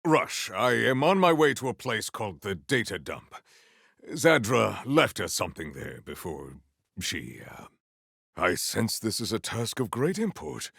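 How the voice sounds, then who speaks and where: An actor playing a solemn, valiant warrior hero in a video game, speaking in formal, archaic, grandiose phrases. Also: male voice, on the low side, sing-song, a little slow, gravelly, a bit bright, husky, no room sound, studio quality, heavily acted. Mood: solemn, determined. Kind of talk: theatrical video game character lines.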